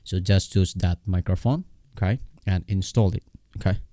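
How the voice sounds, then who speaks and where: A man giving a casual, unscripted software tutorial in a halting, repetitive way, often checking in with his listener. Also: deep voice, dry recording, studio quality.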